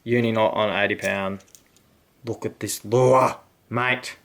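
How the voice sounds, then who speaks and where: Aussie bloke w a creaky gravelly voice, chill and chatty, showing how to tie a knot. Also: male voice, lowish voice, fairly even intonation, takes it slowly, fairly bright tone. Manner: laid-back, chatty, slangy. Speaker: fishing channel host. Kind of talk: casual how-to demonstration.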